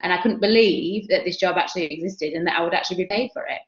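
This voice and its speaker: A woman's voice: lowish voice, fast talker. A young woman with a Yorkshire accent, chatting as a guest speaker about her career, self-deprecating and full of fillers. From Yorkshire, England. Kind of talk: casual career talk on a video call. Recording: roomy, dull video-call audio.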